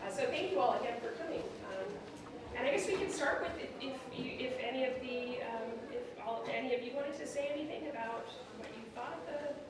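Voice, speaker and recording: Halting woman moderator in an echoey hall. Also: high voice. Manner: hesitant, self-interrupting. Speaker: panel moderator, history professor. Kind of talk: informal panel introductions. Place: theatre with a stage. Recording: echoey noisy hall, distant microphone.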